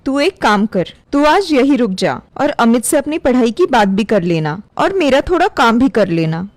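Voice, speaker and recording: A woman's voice: fairly high pitch, big pitch swings, normal speed, no room sound. A woman voicing a bossy, scornful middle-aged woman employer in a cartoon story, talking in a scolding, sarcastic way.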